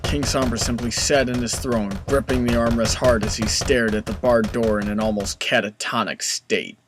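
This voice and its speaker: A man's voice: medium pitch, fairly even intonation, normal speed, noisy background. A man reading scripted story narration as the narrator.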